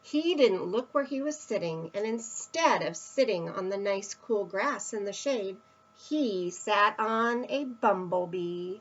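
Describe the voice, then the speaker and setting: Lady doing storytime for kids, really slow and expressive, kinda muffled lo-fi sound. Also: medium pitch. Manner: expressive, with emphatic stresses and asides to young listeners. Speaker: storyteller reading a picture book to children. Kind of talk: read-aloud children's storytelling.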